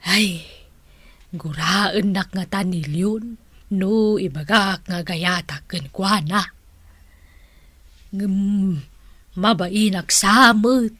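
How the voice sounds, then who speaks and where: Slow, bright-voiced lovesick radio drama woman. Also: medium pitch, no room sound. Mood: wistful longing. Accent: Ilocano. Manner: sighing, emotive, slow delivery. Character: lovesick, melodramatic woman longing for a jailed man. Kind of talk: Ilocano radio drama acting.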